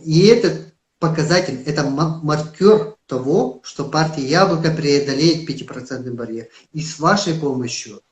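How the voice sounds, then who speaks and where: Earnest man with a Yakut accent. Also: fairly high pitch. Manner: earnest, emphatic, with hesitant fillers. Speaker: political candidate. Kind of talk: live-streamed interview. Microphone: phone on a live stream. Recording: roomy echo.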